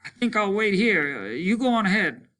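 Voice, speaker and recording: A man playing a hardened soldier at the end of his rope in a storybook reading. The voice is raised and strained, with a bright, hard edge. He speaks bluntly, with bitter fatalism. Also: high-pitched, big pitch swings, moderate pace, voice pushed up, exaggerated voice. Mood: weary, strained. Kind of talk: dramatic storybook character reading.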